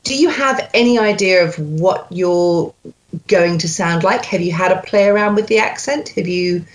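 A woman's voice: lowish voice, moderate pace, rough recording. A woman working as a dialect coach, explaining her method step by step in a cheerful tone over a video call. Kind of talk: coaching session.

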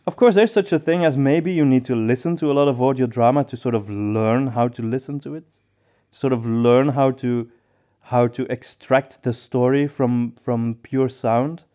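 A man's voice: medium pitch, normal speed, warm tone. A man co-hosting a podcast, chatting casually in a thoughtful way with hesitant phrasing. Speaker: podcast co-host. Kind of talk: casual discussion.

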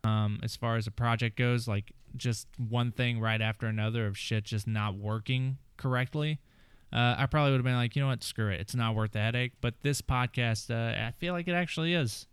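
A man records a rambling intro for his podcast, leaning on lots of fillers. His voice is very smooth and resonant. The sound is dry and close, at a middle pitch. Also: fairly quick, no echo. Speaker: podcast host.

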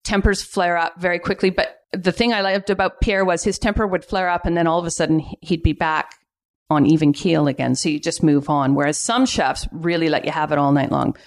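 A middle-aged woman with a Canadian accent, chatting casually and reminiscing as an interview guest, quick to laugh. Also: on the low side, fairly even intonation. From Vancouver, Canada. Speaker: podcast guest, cookbook author.

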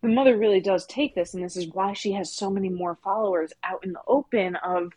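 A woman co-hosting a casual discussion podcast, speaking in an opinionated way with animated emphasis. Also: medium pitch, fairly quick, low-quality recording. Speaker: podcast co-host.

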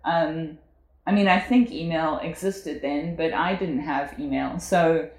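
South African woman, 40s, low voice, reflective guest, echoey room. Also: measured pace. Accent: South African English. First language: English. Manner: reflective, with frequent fillers and laughs. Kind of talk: casual conversational interview.

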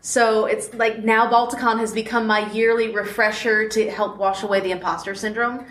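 A woman's voice: a little high, little pitch movement, moderate pace, fairly bright tone. A woman in her thirties, a podcast host, chatting casually and laughing often as an interview guest at a convention panel. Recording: roomy echo, faint noise.

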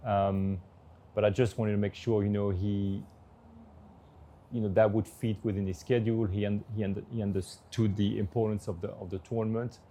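A man's voice: lowish voice, flat delivery, moderate pace, mellow, a little hiss or hum. A man who runs a tennis tournament, answering interview questions hesitantly with lots of fillers. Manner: hesitant, many fillers and restarts.

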